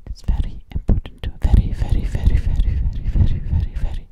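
A woman's voice: fast, highs cut off, natural voice. A woman playing a sweet, slightly sassy alien girl in an ASMR roleplay, whispering breathily close to the microphone and repeating her words soothingly. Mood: coy, insistent. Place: dim living room indoors. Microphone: close-up at a large ASMR microphone.

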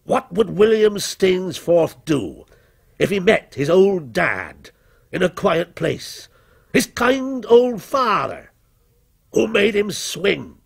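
Storybook villain, acted by a male narrator: criminal dressed as policeman, deliberate, taunting menace, rough husky tone, wide swings in pitch. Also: sing-song, exaggerated voice. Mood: slow, taunting. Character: menacing, vengeful male fictional criminal come to life as a policeman.

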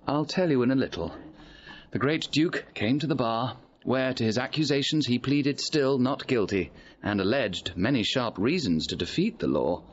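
An actor playing a grave gentleman recounting court news in measured Shakespearean drama style. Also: mid-range voice, lively intonation, dull audio, slight background noise, natural voice. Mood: grave, informative. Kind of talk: Shakespearean radio drama acting.

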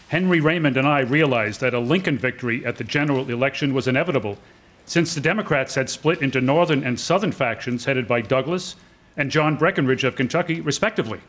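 A man's voice: fairly high pitch, a bit bright. An older man reading as a matter-of-fact 1860s newspaperman. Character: male 1860s New York newspaperman recalling politics. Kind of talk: amateur radio play reading. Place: lecture room with seated audience. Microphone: microphone on stand.

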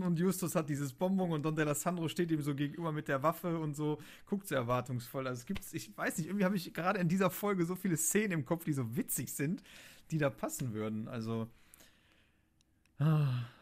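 A man co-hosting a podcast, casually describing things in everyday conversational speech. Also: a little high. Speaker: podcast co-host. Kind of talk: casual chat, describing scenes.

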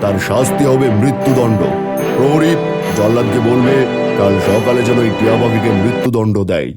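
A man's voice: mid-range voice, brisk, loud music behind the voice, natural voice. A man voicing a stern, authoritarian king giving theatrical commands in a cartoon fairy tale. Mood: angry, threatening.

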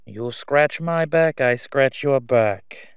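A man's voice: mid-range voice, clean tone, no room sound, clean studio sound. Man acting out a sleazy, menacing crime boss. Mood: leering. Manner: oily, taunting, drawn-out delivery with stalling pauses. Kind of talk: solo audio roleplay acting.

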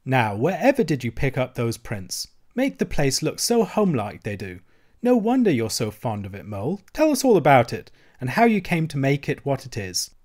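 A man voicing a cheerful, bustling male water rat who talks in a brisk, hearty, encouraging way. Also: medium pitch, wildly swooping pitch, darkish tone.

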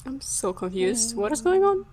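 A woman's voice: on the high side, sing-song, moderate pace, darkish tone. A woman playing a curious, confused young survivor in an improvised game roleplay, full of forthright questions. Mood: puzzled.

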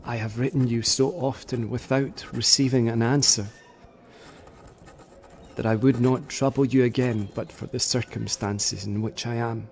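A dying Scottish poet in a radio play. He speaks in a middling pitch, formal, measured and earnest, as if reading a farewell letter. Faint ambience sits behind him. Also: fairly even intonation, gravelly, darkish tone, slight background noise. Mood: solemn, resigned.

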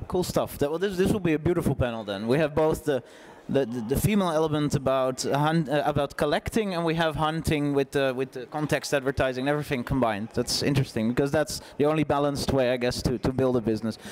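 A man's voice: on the high side, a little fast, darkish tone, slight background noise. A man, informally moderating a panel on a conference stage. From Netherlands. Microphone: gooseneck microphone at seat.

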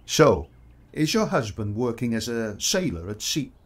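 An actor playing a kindly, modest portrait photographer in a period audio drama, polite, reassuring and slightly hesitant. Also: male voice, mid-range voice, big pitch swings, measured pace.

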